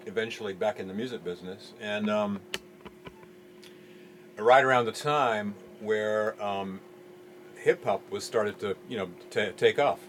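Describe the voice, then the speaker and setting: A middle-aged man from England, raised in Jamaica, giving relaxed interview answers in an easygoing, hesitant way. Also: fairly low pitch, unhurried, pauses often, a little hiss or hum. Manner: easygoing, hesitant with many ums. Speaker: music producer guest.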